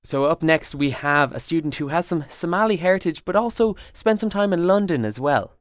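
A man's voice: fairly high pitch, expressive, a little fast, dry recording, clean studio sound. A young man with an Irish accent, chatting casually as a trainee co-presenter on a radio show, hesitant with plenty of fillers and restarts.

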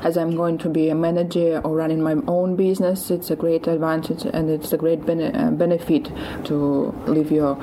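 A young woman student speaking earnestly and in a measured way in a recorded interview clip. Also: deep voice, level tone, warm tone, low-quality recording. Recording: field clip with background noise.

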